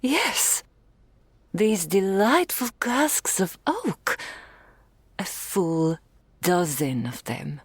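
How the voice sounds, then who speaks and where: A woman's voice: mid-range voice, sing-song, very slow, long pauses, breathy edge, no room sound, full character voice. A woman voicing a doting, regal female dragon in human form, speaking intimately to the listener in ornate, archaic phrases as an ASMR roleplay. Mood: doting, delighted.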